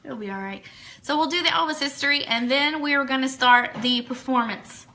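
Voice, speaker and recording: A woman's voice: sits high, moderate pace, bright, forceful tone. A woman hosting a live show, chatting casually as she directs what happens next. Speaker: show host directing the programme. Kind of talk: casual live broadcast chat.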